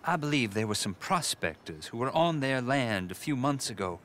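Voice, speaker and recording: A man's voice: mid-range voice, animated, moderate pace, fairly bright tone, slight background noise, natural voice. An actor playing a polite, nervous male activist who hesitates and stumbles over his words. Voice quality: hesitant, genteel.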